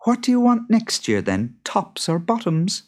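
A man voicing a clever farmer's wife in a storybook, speaking brisk and persuasive. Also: high voice, wildly swooping pitch, a little mellow, pitched above the performer's own voice, heavily acted.

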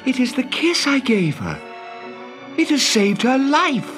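Boy hero for a storybook, played by a narrator: brave, excitable, commanding, high and exclamatory. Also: measured pace, over music. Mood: relieved, eager. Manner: exclamatory, earnest. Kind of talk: children's storybook reading.

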